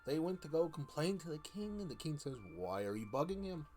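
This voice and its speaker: A man's voice: a little high, very animated, fairly quick, a little mellow, faint music behind. A man playing an easygoing court wizard who rambles and grumbles good-naturedly as he tells stories on a stream. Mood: wry, resigned. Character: easygoing court wizard chatting from his castle cabin. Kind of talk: in-character storytelling stream.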